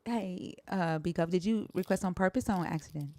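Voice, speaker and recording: A woman hosting a call-in live stream, brisk and direct with her callers. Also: on the low side, warm tone, dry recording.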